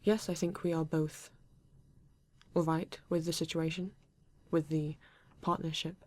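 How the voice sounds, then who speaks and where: A woman voicing a polite, formal male android detective in an ASMR roleplay, with a British accent and stiff, measured phrasing. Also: low voice, level tone, darkish tone, dry recording.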